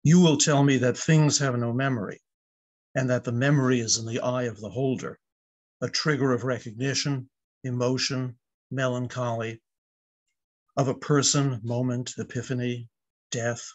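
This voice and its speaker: An older man in about his seventies reading his poem aloud over a video call, with measured, reflective phrasing. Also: mid-range voice, fairly even intonation. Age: older, about 70s. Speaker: poet at an open mic. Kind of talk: poetry reading.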